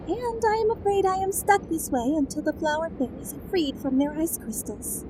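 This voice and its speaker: Audio drama casting: a little prim fairy, piping and very high, noisy recording. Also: female voice, animated, moderate pace. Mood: explaining, regretful. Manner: prim, exclamatory. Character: tiny prim bossy Sugar Plum Fairy.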